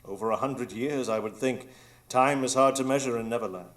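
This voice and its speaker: A man's voice: medium pitch, brisk, close to an everyday voice. A man as a grandiose, scheming old pirate villain. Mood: tempting. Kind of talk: live radio drama acting.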